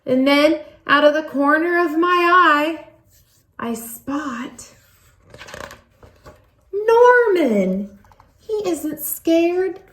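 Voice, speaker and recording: A woman reads a children's story aloud in an expressive, animated way, leaning on words for emphasis. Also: high voice, very slow, pauses often, roomy sound. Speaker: storybook reader.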